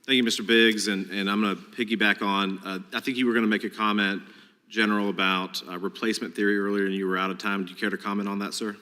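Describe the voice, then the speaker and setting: A man's voice: on the low side, level tone, quick pace, bright, forceful tone. A man, a congressman questioning a witness at a hearing, speaking emphatically and with frustration, often repeating words as he goes. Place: large hearing room. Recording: long hall reverb.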